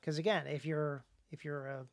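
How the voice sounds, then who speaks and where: An American man hosts a conversational podcast discussion as an author. He thinks aloud, halting and then leaning hard on key words. His delivery is informal throughout. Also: on the high side, little pitch movement.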